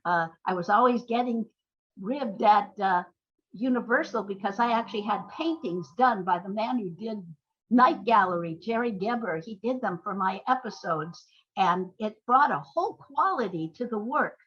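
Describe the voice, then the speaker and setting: A woman's voice: mid-range voice, animated, normal speed, fairly bright tone, husky, muffled recording. An older woman giving conversational interview answers, explaining things through anecdotes with emphatic agreement. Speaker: guest, playwright and screenwriter.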